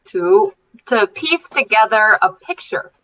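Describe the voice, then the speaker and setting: Bright, lively woman guest, not a native Chinese speaker, patiently teaching words. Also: medium pitch, highs cut off. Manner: warm, patient, spells out words slowly. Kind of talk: friendly explaining.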